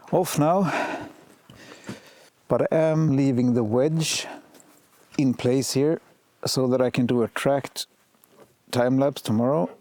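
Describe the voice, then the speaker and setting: Guy with a cold narrating his night shoot outside, mellow low-key voice, dry sound, lots of restarts. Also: no echo. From Sweden. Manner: soft-spoken, thinking aloud with restarts. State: has a cold, sore throat. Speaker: photography vlogger. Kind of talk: casual vlog narration. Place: outdoors by the sea at night.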